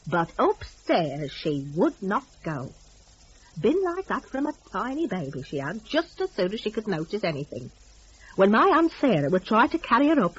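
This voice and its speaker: A woman's voice: medium pitch, husky, highs cut off. A woman narrating a dramatic story in a chatty, gossipy, folksy dialect.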